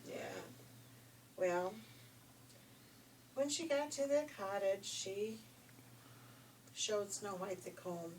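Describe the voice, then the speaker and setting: A woman's voice: mid-range voice, expressive, slow, pauses often. Brief: older female storyteller, folksy and emphatic, informal fairy-tale asides, distant mic with faint noise. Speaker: grandmother storyteller.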